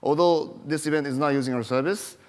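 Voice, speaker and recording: Halting man from Japan in a hall. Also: fairly high pitch. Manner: halting, with many fillers and restarts. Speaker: panelist, company CEO. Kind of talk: forum self-introduction. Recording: long hall reverb.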